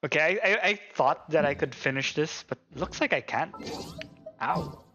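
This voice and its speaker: A man's voice: on the high side, animated, normal speed, lo-fi sound. A man doing casual live gameplay commentary, muttering to himself in frustration. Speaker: gaming streamer. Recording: voice over loud game music. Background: game music and sound effects.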